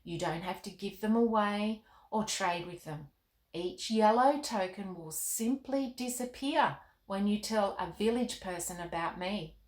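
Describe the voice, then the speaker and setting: A woman voicing a kindly, majestic king in a children's storybook, measured, gentle and reassuring. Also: mid-range voice, roomy sound, lightly acted. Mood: patient, explaining.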